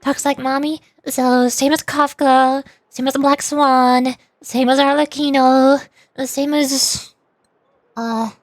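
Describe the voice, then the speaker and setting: A woman's voice: high voice, level tone, slow, no room sound, clean studio sound, faint music behind. A woman streamer giving casual, playful, rambling commentary while she plays a video game.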